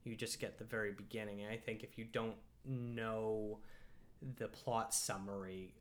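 Low-voiced man musing over a film. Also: level tone, measured pace. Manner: thoughtful, hesitant, lots of fillers and restarts. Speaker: podcast host and film reviewer. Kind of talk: casual film discussion.

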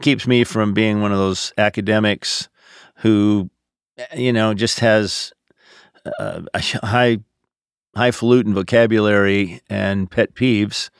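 A man's voice: lowish voice, steady tone, takes it slowly, clear, resonant tone, no echo, clean studio sound. A middle-aged or older man, a pastor, explaining things in a casual, teacherly podcast style. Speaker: pastor and theology teacher answering questions.

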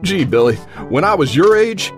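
Guy doing a corny dad from a retro radio skit, hammy and animated, music underneath. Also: high-pitched, normal speed. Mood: hokey nostalgia. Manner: folksy, hammy. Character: corny, wholesome-sounding dad with pulp nostalgia. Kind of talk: parody retro radio ad acting.

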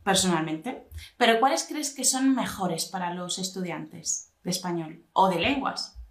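A woman's voice: fairly low pitch, unhurried, echoey room. A woman hosting a casual podcast, asking curious, friendly questions. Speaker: podcast host.

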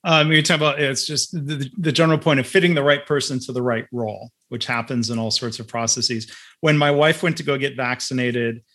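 A man moderating a webinar, relaxed and conversational, reading out audience questions. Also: mid-range voice, fairly quick. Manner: relaxed, many fillers.

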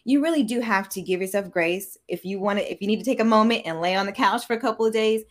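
A woman's voice: medium pitch, fast. A woman hosting a casual interview, chatting informally in an affirming, empathetic way. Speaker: interviewer, show host. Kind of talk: casual chat.

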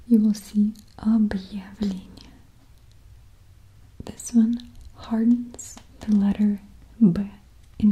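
A woman with a Russian accent, a native Russian speaker, softly whispering a patient lesson on the Russian alphabet, repeating each sound. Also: mid-range voice, highs cut off. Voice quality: soft whisper. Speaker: language teacher.

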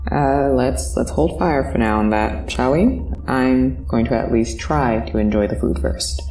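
A woman plays a politely unimpressed female passenger in a sci-fi audio drama. Her voice is low and mellow, with a dry, hesitant, understated delivery. It sounds roomy and slightly distant, with music behind. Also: unhurried. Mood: wry resignation.

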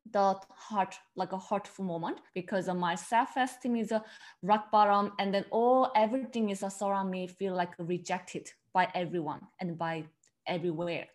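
A woman from South Korea speaks as a podcast guest with a Korean accent. She tells her own story earnestly, in halting second-language phrasing. She often repeats words as she works toward her point. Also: mid-range voice. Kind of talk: personal storytelling in an interview.

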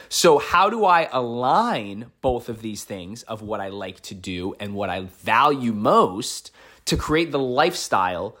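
A man's voice: mid-range voice, fairly bright tone. Emphatic American man in his thirties. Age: 30s. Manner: emphatic, repeats phrases for stress. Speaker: podcast host and life coach. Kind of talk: solo impromptu motivational podcast monologue.

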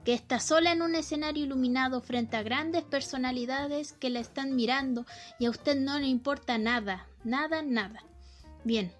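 A woman's voice: high-pitched, lightly acted. A young Chilean woman playing a bored young wife who muses ironically about doing away with her husband, in a student radio drama. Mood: wry musing. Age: young adult. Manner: theatrical, ironic monologue with dramatic swings. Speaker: student actor.

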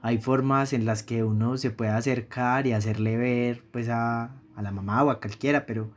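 A young Colombian man co-hosts a podcast and talks in a loose, conversational way. He leans on small fillers as he builds his points. His voice sits at an ordinary middle pitch. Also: fairly even intonation, moderate pace. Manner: conversational, uses many fillers like "como" and "pues". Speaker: podcast co-host. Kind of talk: casual chat.

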